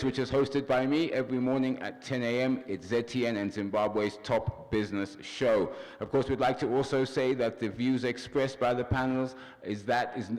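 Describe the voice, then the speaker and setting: Male TV host, upbeat announcer delivery, reverberant studio. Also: mid-range voice. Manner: upbeat, announcer-like reading of credits and shout-outs. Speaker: TV talk show host. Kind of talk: hosting a panel broadcast.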